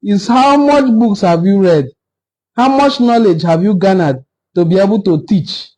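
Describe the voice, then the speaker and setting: A Nigerian man with an Igbo-language accent speaks in a high, soft-toned voice. He hosts an informal history talk, pressing his case in an assertive, argumentative manner and repeating points for emphasis. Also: expressive, moderate pace, rough recording. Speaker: video host on history.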